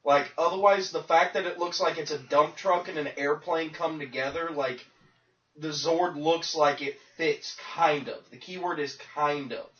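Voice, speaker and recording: A man's voice: on the high side, fairly even intonation, moderate pace, a bit bright, recorded from a distance. A man co-hosting a casual chat show, rambling with lots of "like" as he argues his point. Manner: rambling, filler-heavy, defensive. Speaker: podcast co-host. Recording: dull, echoey sound from off the mic.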